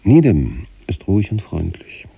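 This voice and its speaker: A dark, husky, brooding male patient. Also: deep voice, sing-song, measured pace, no room sound, full character voice. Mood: introspective, estranged. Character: troubled, detached male sanatorium patient writing letters to his wife. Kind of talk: radio play monologue, letters read as inner voice.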